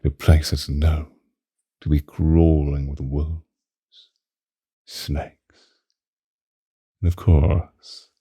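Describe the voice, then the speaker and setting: Man playing a very deep, seductive vampire. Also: level tone, dark tone, no room sound, clean studio sound, heavily acted. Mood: captivated, condescending. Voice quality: breathy, husky deep murmur. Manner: languid, archaic, theatrical, with lingering pauses. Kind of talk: ASMR roleplay, whispered close to the ear. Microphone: close microphone, dry.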